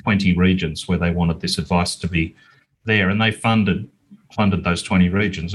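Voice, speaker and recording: A man's voice: low-pitched, breathy edge. A middle-aged Australian man answering interview questions in an earnest, plain-spoken, conversational way. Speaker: interview guest, small business owner.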